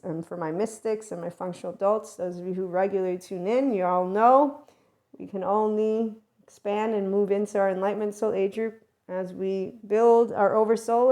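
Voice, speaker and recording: A middle-aged woman hosting a spiritual self-help podcast, talking in a rambling, emphatic monologue that often swings in loudness. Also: mid-range voice. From America and Italy. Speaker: podcast host.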